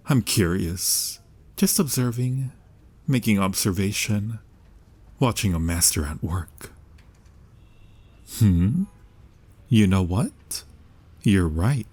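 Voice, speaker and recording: Husky, slow-talking young mafia charmer played by a man. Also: fairly low pitch, lively intonation, darkish tone, heavily acted. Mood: teasing, apologetic. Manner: playful, smooth-talking, mock-sincere. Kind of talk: ASMR boyfriend-style audio roleplay.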